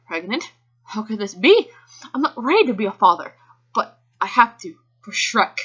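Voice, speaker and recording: A woman's voice: medium pitch, big pitch swings, normal speed, a bit bright, breathy edge. Pleading young man, played by a woman, lo-fi. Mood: tearful resolve. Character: pleading, emotional young man in fan fiction. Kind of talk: melodramatic amateur dramatic reading. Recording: dull lo-fi home recording.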